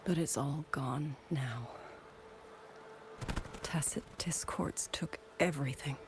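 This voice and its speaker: An actress playing a grieving former musician turned soldier in a video game, speaking softly and measuredly with wistful resolve, over background music. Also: female voice, sits low, slow pace, noisy background. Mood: tender, sorrowful. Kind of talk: dramatic video game voice acting.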